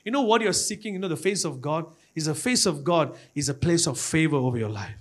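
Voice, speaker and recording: Male preacher, Malaysian accent, high-pitched voice, impassioned and exhorting sermon delivery with frequent repetition. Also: animated, a little fast. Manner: repetitive, exhorting, often addressing the congregation.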